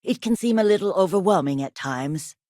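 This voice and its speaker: Casting: earnest colony-ship historian and teacher, played by a woman, gracious and articulate, lowish voice, very animated delivery, video game, close dry sound. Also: brisk, no room sound, lightly acted. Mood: reflective, wistful. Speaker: video game character voice actor. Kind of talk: scripted game character dialogue.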